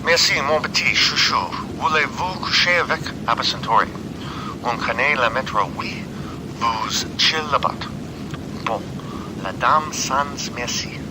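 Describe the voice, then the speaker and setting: A man's voice: measured pace, close to an everyday voice. A man playing a cheerful, absurd airline announcer with mock-formal patter that switches between languages. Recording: noisy tape with low rumble.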